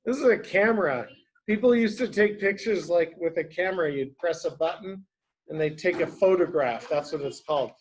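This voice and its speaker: A man's voice: sits high, moderate pace, a little hiss or hum. A man reading a picture book aloud to young children as a teacher, explaining things simply and asking them questions.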